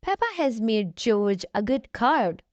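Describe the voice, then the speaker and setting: High, lively woman reading a children's storybook. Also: slow pace, no room sound, lo-fi sound. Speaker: storybook reader, channel host. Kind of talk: children's read-aloud narration.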